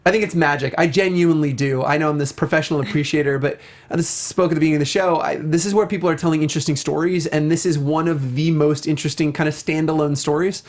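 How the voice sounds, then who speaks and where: A man's voice: fairly high pitch, fairly even intonation, quick pace. Chatty, enthusiastic male talk show host. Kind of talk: casual video game and film discussion.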